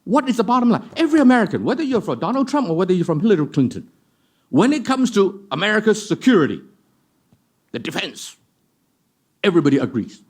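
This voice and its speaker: A man's voice: high-pitched, sing-song, fast, pauses often, darkish tone, husky. A man telling a story at a panel discussion, emphatic and fond of rhetorical questions. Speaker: panel guest. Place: event hall.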